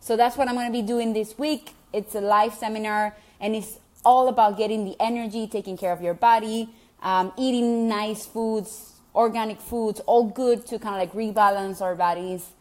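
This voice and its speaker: A woman's voice: on the high side, steady tone, moderate pace, a little mellow. A woman from Venezuela with a Venezuelan Spanish accent, Spanish being her first language. She speaks as a gut health coach to her online group, chatty and encouraging, with many ums and restarts. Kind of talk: casual direct-to-camera talk to an online group.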